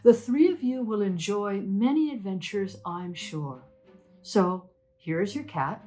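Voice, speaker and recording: A woman's voice: medium pitch, a little slow, dark tone, soft background music, pitched above the performer's own voice, close to an everyday voice. A woman voicing a kindly, amused mysterious female stranger in a storybook, reassuring and teasing. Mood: kindly, laughing.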